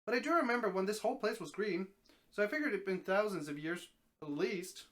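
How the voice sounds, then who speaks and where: A man's voice: sits high, lively intonation, fairly quick, fairly bright tone. A man voicing an old, easygoing talking snake in a dramatic story reading, folksy and matter-of-fact. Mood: matter-of-fact, reminiscing.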